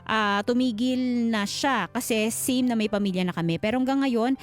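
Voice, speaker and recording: A woman's voice: on the high side, normal speed. A Filipino woman co-hosting a casual radio advice show, frank and chiding as she talks and reads listener comments. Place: radio studio. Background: background music.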